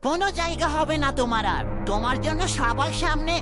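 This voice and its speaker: A woman's voice: sits high, a little fast, loud music behind the voice, close to an everyday voice. A woman voicing a stern, reproachful father and head of household in a fairytale cartoon. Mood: furious, commanding. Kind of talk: children's fairytale cartoon dubbing.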